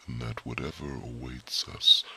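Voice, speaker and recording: A wise male mage who reads minds, as a man acts him out for an ASMR roleplay, whispers in a formal, unhurried way. His voice sits extremely deep and gravelly. It still has a bright, sharp edge, and every word comes at a slow crawl. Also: level tone, exaggerated voice. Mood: tender.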